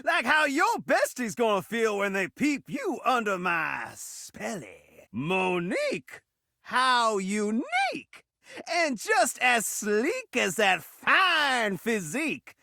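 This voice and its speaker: A man's voice: very high-pitched, wildly swooping pitch, slow, gravelly, pushed and bright, no room sound, studio quality, heavily acted. A man voicing a showy, flirty talking television set in a video game, rhyming with theatrical showman flair. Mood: seductive. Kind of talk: video game character voice acting.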